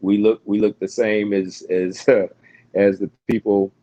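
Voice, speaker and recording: An American man, a pastor, talking in a relaxed interview with frequent fillers and restarts. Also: lowish voice, no echo, dull audio. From United States. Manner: halting, many restarts and fillers. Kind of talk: casual interview conversation.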